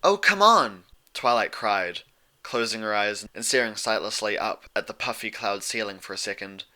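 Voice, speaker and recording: A man reading fiction aloud as an expressive scripted story narrator. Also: lowish voice, moderate pace.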